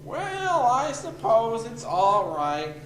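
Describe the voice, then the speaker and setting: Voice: medium pitch, wildly swooping pitch, slow pace. An actor playing a rambling, easily distracted judge who speaks hesitantly in a radio comedy. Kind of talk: old time radio comedy acting. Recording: echoey, noisy amateur recording.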